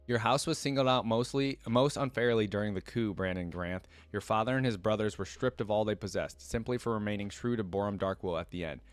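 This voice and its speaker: A man voicing a cunning, manipulative female sorceress in a calm, measured reading. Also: lowish voice, smooth, dry recording, soft background music, lightly acted. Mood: scheming.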